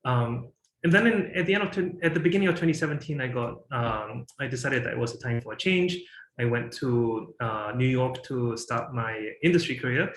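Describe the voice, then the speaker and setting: A man's voice: mid-range voice, a little fast, echoey room, slight background noise, low-quality recording. A man from Singapore in his thirties, giving a presentation and talking with many fillers and restarts. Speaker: guest speaker.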